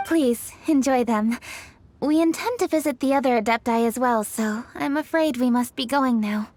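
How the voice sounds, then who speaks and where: A woman's voice: on the high side, normal speed, darkish tone, slight background noise, close to an everyday voice. An actress voicing a courteous, dutiful young noblewoman official in a video game, formal and composed.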